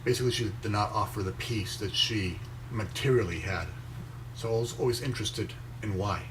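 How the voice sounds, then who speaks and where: A young man raised in Venezuela, reading a motivational post aloud and commenting on it in a slow, measured way with pauses between phrases. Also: lowish voice, noisy background. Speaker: inspirational speaker reading his own post.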